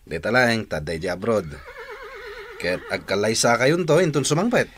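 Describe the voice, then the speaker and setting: An actor with a Filipino accent playing a young playboy in a radio drama, torn and evasive about love. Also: male voice, on the low side, very animated, normal speed, a little hiss or hum. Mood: uncertain.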